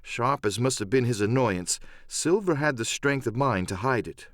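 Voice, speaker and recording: A man narrating a scripted dramatic first-person story as an audiobook, with measured, expressive storytelling. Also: medium pitch, no room sound, studio quality.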